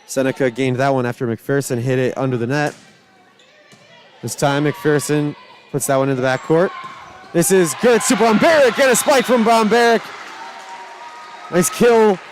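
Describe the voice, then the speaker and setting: A man calling high school volleyball play by play, shouting excitedly over rallies, his voice starting to go. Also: moderate pace, pauses often, some chatter behind, slight background noise. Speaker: play-by-play announcer.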